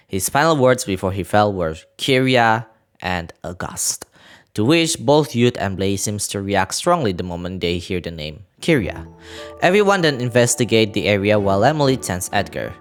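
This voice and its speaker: Low-pitched man narrating, lively intonation. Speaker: video creator narrating a game story recap. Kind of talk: scripted narration with casual asides.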